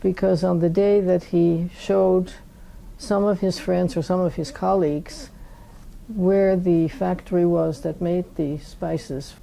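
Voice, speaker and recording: An elderly Dutch-accented woman with a deeply gravelly, dark voice. She speaks slowly in an interview, often pausing and circling back to find the right word. Her register sits fairly low for a woman. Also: steady tone, rough recording. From Netherlands. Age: 80s. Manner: unhurried, searching for words. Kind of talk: oral history interview.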